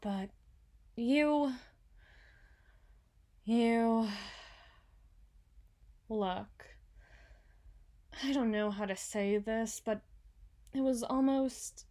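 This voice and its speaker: A woman playing a frazzled, proud young female CEO in an ASMR roleplay, halting and stammering as she corrects herself. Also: on the high side, darkish tone. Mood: shy, hesitant.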